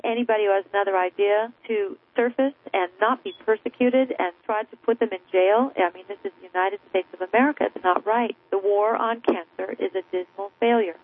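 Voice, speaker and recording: A woman's voice: mid-range voice, fairly even intonation, fairly quick, hardly any pauses. A woman being interviewed as an author, talking in an emphatic, persuasive, crusading way. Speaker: author and guest. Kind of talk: impassioned radio interview. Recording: narrow phone-like radio line.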